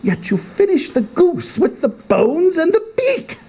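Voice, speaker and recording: A man's voice: very high-pitched, big pitch swings, measured pace, a little mellow, voice pushed up, heavily acted. A man voicing an earnest, nosy young man who questions his old father in emphatic disbelief, in a comic poetry reading. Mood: nosy, teasing.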